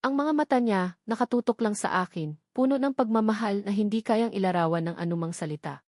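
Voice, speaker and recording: Woman, first-person romance narrator, soft heartfelt delivery, medium pitch, dry close recording. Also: fairly quick, dry recording. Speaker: story narrator.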